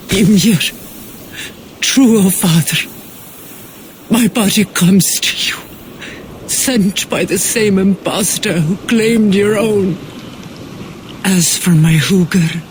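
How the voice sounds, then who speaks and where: An actor playing a proud, wry, aging giant leader, speaking in a measured, formal, theatrical way in a video game. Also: male voice, sits high, a little mellow, slight background noise. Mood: solemn prayer. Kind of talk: dramatic video game cutscene acting.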